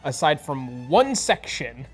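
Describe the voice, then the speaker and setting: A woman's voice: rumbling low, sing-song, slow pace, a little mellow, soft background music. A woman playing a tabletop role-playing game, chatting casually with the group.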